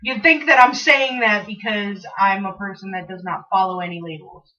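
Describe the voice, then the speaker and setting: A woman with a squeaky voice co-hosting a casual chat, talking haltingly with many restarts. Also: steady tone, crowd noise. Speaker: co-host. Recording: distant mic, dull lo-fi sound.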